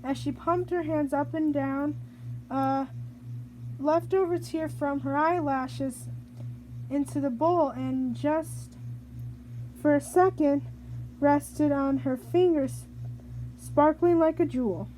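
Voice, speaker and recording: High-voiced woman slowly reading a storybook. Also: steady tone, dark tone, slight background noise, rough recording. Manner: halting, stumbles and rereads phrases. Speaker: storybook reader. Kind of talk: reading a children's picture book aloud.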